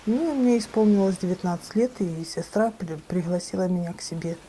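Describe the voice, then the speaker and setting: Older woman with a very dark voice. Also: on the low side, slight background noise, rough recording. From Ukraine. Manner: plain, unhurried reminiscing with small hesitations. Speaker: interviewee. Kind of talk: documentary interview.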